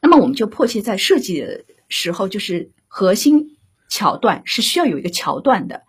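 A woman's voice: medium pitch, sing-song, normal speed, slightly rough. A woman guest, a radio drama director, explaining her work conversationally and often checking in with rhetorical questions. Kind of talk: conversational panel discussion.

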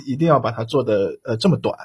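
A man's voice: medium pitch, lively intonation, quick pace, darkish tone, slightly rough. A man hosting a casual live discussion, rambling and repeating phrases as he works toward his questions. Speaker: host.

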